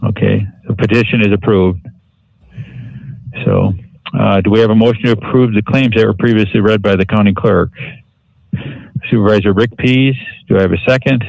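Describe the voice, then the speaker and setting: A man chairing a county board meeting in formal procedural language, with a somewhat halting delivery. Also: fairly low pitch, creaky, a little mellow, dry recording, muffled recording.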